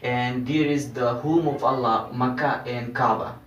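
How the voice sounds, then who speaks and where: A man's voice: mid-range voice, steady tone, a little slow, fairly bright tone, muffled recording, slight background noise. A young man with a Pashto accent, answering in hesitant, simple English in a classroom practice. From Afghanistan. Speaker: student. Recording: echoey room, distant mic.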